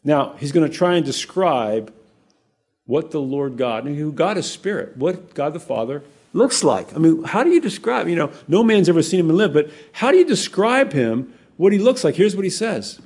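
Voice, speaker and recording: A man with a warm, mellow, slightly husky voice leads a conversational Bible teaching. He talks casually and with animation, often restarting his sentences and turning to the congregation with asides. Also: a little high, sing-song, normal speed. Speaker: pastor.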